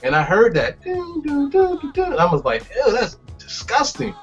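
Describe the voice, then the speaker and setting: A man on the panel giving his views in a relaxed, reflective way. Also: very high voice, normal speed. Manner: reflective, acts out sounds in stories. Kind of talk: casual conversation. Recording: lo-fi, faint music behind.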